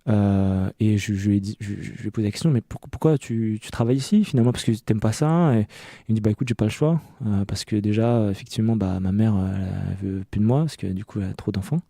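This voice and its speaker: A man in his early thirties from France, an interview guest telling personal stories conversationally in a hesitant, halting way that often doubles back on words. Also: lowish voice, warm tone, no echo, studio quality. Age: early 30s. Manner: hesitant, halting delivery with many self-repetitions. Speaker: interview guest, clothing maker.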